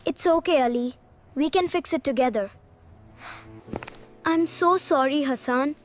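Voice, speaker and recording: A woman's voice: high-pitched, measured pace, faint music behind. A woman voicing an eager, kind-hearted schoolboy in a children's cartoon story, earnest and exclamatory. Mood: apologetic. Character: excitable, eager, kind-hearted schoolboy building a science project.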